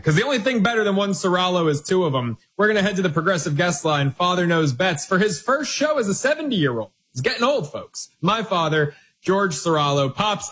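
A man hosting a live sports betting show, bantering briskly with a guest and running through lines and numbers. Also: fairly high pitch, a bit bright, breathy edge. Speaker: sports betting radio host.

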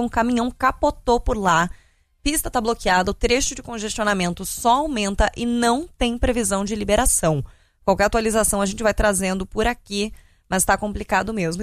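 A woman's voice: fairly high pitch, no echo. Brazilian woman presenting radio news, dry studio sound. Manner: conversational, explanatory. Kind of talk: live radio news and traffic reporting.

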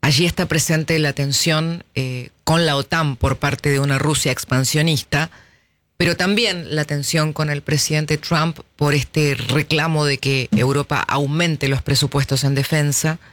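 A man's voice: a little high, steady tone, unhurried, fairly bright tone, no room sound. A man hosting an interview, asking long, framing questions. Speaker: interview host. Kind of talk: radio or TV interview.

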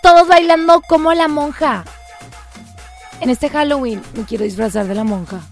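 High-voiced Mexican woman radio host. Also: normal speed, soft background music. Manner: playful, joking, shouting cheers. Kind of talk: lively radio show hosting. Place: radio studio booth.

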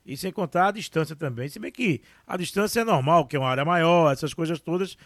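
A man co-hosting a sports talk show, joking and animated in casual banter. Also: a little high, fast, dry recording, clean studio sound. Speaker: sports radio co-host.

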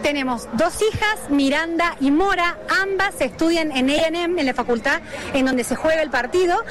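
High-voiced middle-aged Argentine woman. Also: moderate pace. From Córdoba, Argentina. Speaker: interviewed football fan. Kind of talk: casual interview answers.

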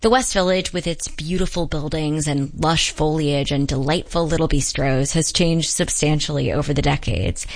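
Female reporter, low register, scripted feature narration, measured.

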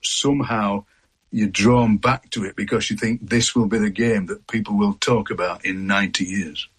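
A man's voice: on the low side, fairly even intonation, normal speed, husky. An English man, a poet, chatting as a guest in a radio studio interview. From England.

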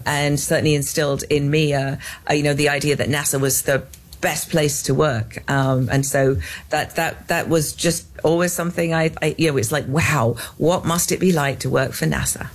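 An English woman in her fifties, a scientist guest in an interview, talking earnestly with many restarts and fillers. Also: low-pitched. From England. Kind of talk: interview conversation.

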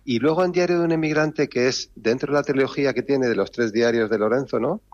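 A man's voice: medium pitch, brisk, a little mellow, no room sound, lo-fi sound. A man, chatting on the radio and explaining a word with jokes. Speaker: radio word-of-the-week contributor. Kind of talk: casual radio chat. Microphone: studio microphone.

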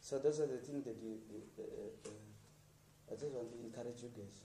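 A radio producer from Namibia talking informally to students, encouraging them with plain, repetitive sentences and restarts. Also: male voice, mid-range voice, normal speed, dark tone, echoey room, distant mic, a little hiss or hum.

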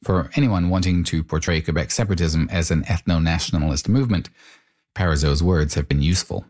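A man narrating a radio report in a measured, explanatory way and asking interview questions. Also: sits low, darkish tone.

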